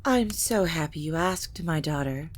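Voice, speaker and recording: Woman acting a low-voiced, formal vampire matriarch. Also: lively intonation. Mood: calculating. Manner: measured, formal, theatrically grave delivery. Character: ancient vampire matriarch, scheming scholarly mother of grown children. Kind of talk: scripted audio drama acting.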